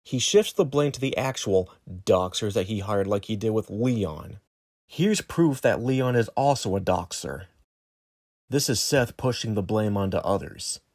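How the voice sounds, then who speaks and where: A man's voice: lowish voice, animated, unhurried, a little mellow, dry recording, studio quality. A man reading scripted narration for an exposé video.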